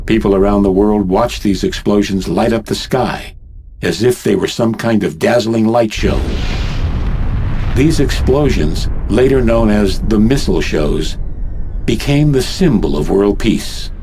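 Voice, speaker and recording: A man narrating a game cutscene as a grave, measured teller of world events. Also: on the low side, expressive, warm tone, a little hiss or hum. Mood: solemn. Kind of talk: scripted dramatic narration.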